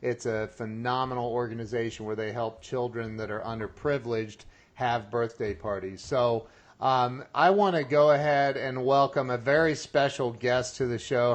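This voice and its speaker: A man's voice: medium pitch, steady tone, unhurried. A man hosting a podcast, talking casually with many restarts and chuckling at his own jokes. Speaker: podcast host. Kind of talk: casual interview chat.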